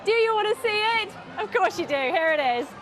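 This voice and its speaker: High-voiced British woman, chatty presenter. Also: brisk, loud music behind the voice, a loud crowd. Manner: animated, playful, jokey.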